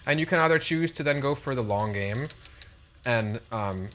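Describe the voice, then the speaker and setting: A man's voice: mid-range voice, expressive, normal speed, a bit bright, a little hiss or hum. A man casually commenting on a strategy game he is playing, rambling and self-deprecating. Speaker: co-host playing the match.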